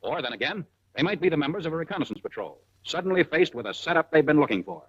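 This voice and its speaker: A man's voice: a little high, lively intonation, quick pace, slightly rough, dry recording, muffled recording. An American man narrating a 1940s military training film from a script, in a punchy, colloquial style full of wartime slang, on a vintage film soundtrack.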